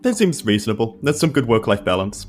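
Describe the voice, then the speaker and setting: A man's voice: fairly low pitch, very animated, fast, soft background music. A man with a New Zealand accent playing a tabletop role-playing game, bantering casually with dry humour. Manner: dry, joking.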